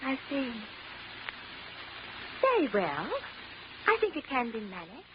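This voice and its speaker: A woman's voice: high-pitched, wildly swooping pitch, takes it slowly, very noisy, full character voice. An actress playing a prim, stuffy young society woman in a 1940s radio drama, speaking stiffly and formally. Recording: muffled old radio broadcast.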